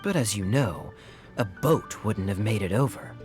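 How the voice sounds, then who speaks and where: A man's voice: lowish voice, lively intonation, moderate pace, lightly acted. A man voicing a suave, sly young knight captain in game cutscenes, with a wry, teasing way of talking. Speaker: game character. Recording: game audio with faint music.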